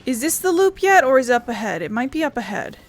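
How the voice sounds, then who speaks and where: A woman's voice: sits high, very animated, fast, a little hiss or hum. A woman giving casual let's-play commentary, grumbling bluntly and swearing as she plays. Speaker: gaming video host. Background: game music.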